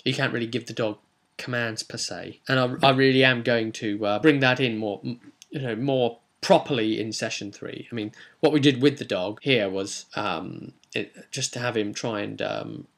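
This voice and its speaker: A man's voice: mid-range voice, normal speed, vocal fry, a bit bright. A man rambling hesitantly as a game master, casually recapping a tabletop role-playing session and often correcting himself.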